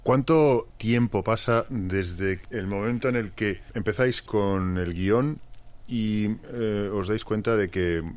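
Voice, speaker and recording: A man's voice: lowish voice, slow, a little mellow. A man with a Castilian Spanish accent asking questions as an interviewer in a conversational, slightly hesitant way.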